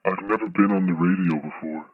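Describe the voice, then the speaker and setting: A man's voice: low voice, slightly rough, no room sound. An actor playing a creepily friendly, obsessive male caller in an audio horror drama, heard through a telephone filter. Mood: creepily eager. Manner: slow, deliberate, creepily friendly. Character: menacing, obsessive male stalker phoning a radio show. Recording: thin, muffled phone line.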